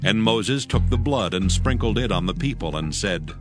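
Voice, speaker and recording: A man narrating scripture from a script in a solemn, measured manner. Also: on the low side, animated. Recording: music behind the voice. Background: background music.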